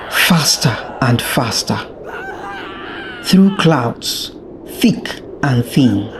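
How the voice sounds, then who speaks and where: A storyteller giving a scripted, dramatic and deliberate narration of a folktale. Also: mid-range voice, background noise.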